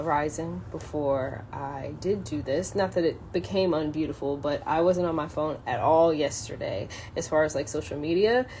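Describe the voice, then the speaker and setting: A woman on a casual solo livestream, halting and thinking aloud as she chats and reads from a book. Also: sits low. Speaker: social media live streamer. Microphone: phone propped up in a window. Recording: clear background noise, ambient sound.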